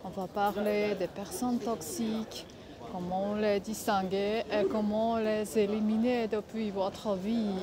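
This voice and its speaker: A woman speaking French that is not her native language, giving casual advice in a vlog, halting often to search for words. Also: mid-range voice, flat delivery, a crowd in the background. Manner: halting, many filler pauses, searching for words. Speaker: vlogger.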